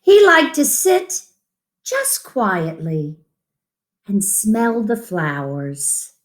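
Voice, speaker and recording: A woman reading a picture book aloud to children in an expressive, gentle, deliberate storytelling manner, with asides about the pictures. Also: mid-range voice. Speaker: children's story-time reader.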